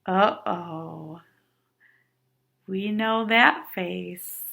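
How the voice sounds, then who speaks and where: A woman reading a picture book aloud to young children, expressive and dramatic, with little asides. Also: mid-range voice, drawn-out words, creaky. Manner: animated, dramatic emphasis for young listeners.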